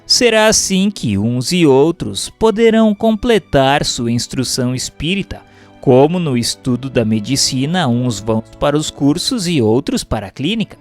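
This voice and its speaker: A Brazilian man narrates a written text in a mid-range voice. His delivery is formal and measured, like a teacher setting out principles. Soft music plays behind him. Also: medium pitch, very animated. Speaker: narrator reading a spiritist book aloud. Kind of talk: scripted audiobook narration.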